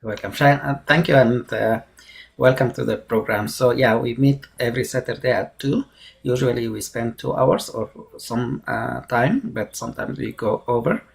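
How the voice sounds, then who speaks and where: A man with a gravelly, creaky voice hosts an online meeting. He talks casually and hesitantly, leaning on fillers. His voice comes through a video call. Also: medium pitch, normal speed. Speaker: meeting host.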